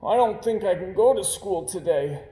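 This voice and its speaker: Man as storybook boy bear, high, whiny, excuse-making, echoey room. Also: animated, moderate pace, mellow. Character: young boy bear cub, teasing older brother.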